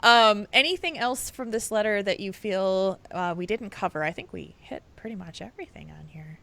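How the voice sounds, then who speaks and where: Bright-voiced woman podcast host. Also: mid-range voice, normal speed, gravelly. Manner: earnest, friendly, frequent laughs. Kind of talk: casual podcast chat.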